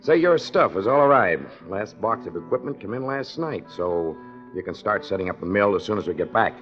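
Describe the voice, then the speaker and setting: An actor playing an affable American mine boss in a 1940s radio drama, amiable and conciliatory in manner. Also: male voice, lowish voice, expressive, brisk, close to an everyday voice. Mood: friendly. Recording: muffled 1940s radio recording with music.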